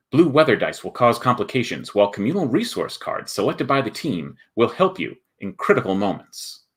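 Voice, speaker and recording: A man performing a dramatic, suspenseful trailer narration for a board game, with deliberate phrasing. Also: medium pitch, fairly bright tone. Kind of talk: dramatic scripted promo narration.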